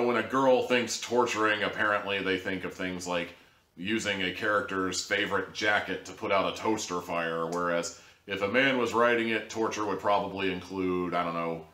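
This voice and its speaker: A low-pitched man with a bright, forceful edge to his voice, reviewing an audio drama in a rambling, sarcastic monologue. His pitch jumps suddenly for emphasis. He sounds like he is recording in an echoey room. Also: fairly even intonation, normal speed.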